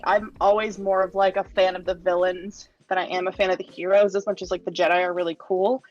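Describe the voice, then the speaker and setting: A woman giving a casual review as a podcast panelist, conversational and saying "like" a lot. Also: medium pitch. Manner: conversational, heavy use of "like". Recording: slightly dull lo-fi audio, music behind. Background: background music.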